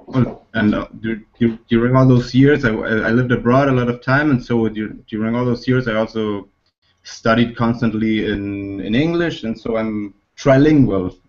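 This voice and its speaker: A man from Germany, native in German and Spanish, casually introducing himself as a translator on a video call, repeating words haltingly. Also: mid-range voice, steady tone. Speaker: freelance translator. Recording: dull online call audio.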